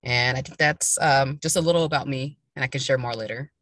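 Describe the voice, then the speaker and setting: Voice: mid-range voice, a little fast, a bit bright, dry recording, clean studio sound. A young adult social worker from Kansas talking on a video call from a parked car, thinking aloud with lots of ums. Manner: thoughtful, thinking aloud with many fillers. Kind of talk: casual panel talk.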